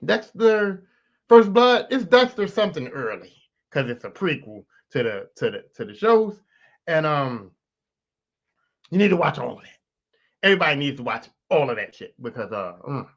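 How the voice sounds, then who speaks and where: A man hosting his own podcast, raspy this morning, talking casually and with plenty of swearing straight to his listeners. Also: fairly high pitch, moderate pace, dull audio. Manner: profane, animated, talks straight to listeners. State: raspy, clearing throat. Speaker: podcast host. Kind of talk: casual solo podcast rant.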